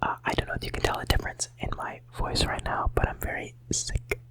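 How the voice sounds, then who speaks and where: A teenager in their late teens whispering ASMR, a little sick and hoarse, rambling casually and softly repeating words at home into a close microphone. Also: brisk. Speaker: ASMR creator.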